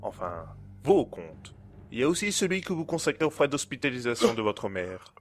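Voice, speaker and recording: A man playing a cold, menacing blackmailer in an audio drama, speaking in a smooth, condescending and formal way. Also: medium pitch, sing-song, normal speed, slight background noise, close to an everyday voice. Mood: coldly threatening. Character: cold, menacing male blackmailer and hacker, smugly in control. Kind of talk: amateur audio drama acting.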